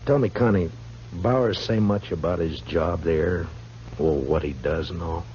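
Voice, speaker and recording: A man's voice: low-pitched, unhurried, mellow. An American man acting a laconic, probing frontier marshal in a 1950s radio western, with terse, dry delivery. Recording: dull, noisy old radio recording.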